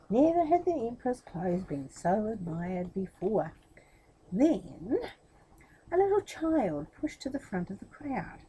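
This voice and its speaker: A woman's voice: high-pitched, warm tone, rough recording. A woman reading a children's story expressively, with explanatory asides spoken directly to young listeners.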